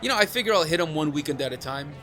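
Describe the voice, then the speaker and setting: A man plays a young husband with a bright, fairly high male voice. His delivery is natural and halting, easygoing but quick to get bewildered, in a sketch comedy with faint music underneath. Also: animated. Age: young adult. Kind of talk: audio sketch comedy acting.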